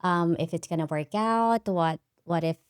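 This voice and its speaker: A woman's voice: fairly low pitch, moderate pace, clean tone, dry recording, studio quality. A middle-aged woman from the Philippines, a native Tagalog speaker, chatting as a podcast guest about her upbringing, laughing often and tagging "right?" onto her sentences. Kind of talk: casual interview storytelling.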